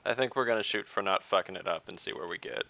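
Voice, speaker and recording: A man's voice: fairly low pitch, quick pace, vocal fry, sharp tone, muffled recording. A man playing in an online tabletop game, talking casually and wryly over a noisy mic. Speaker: player.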